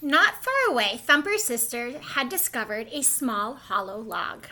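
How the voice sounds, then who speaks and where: Female storyteller for young kids, high-pitched and bright, expressive read-aloud delivery. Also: a little slow. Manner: expressive, explains to young listeners. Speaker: storybook reader, school staff.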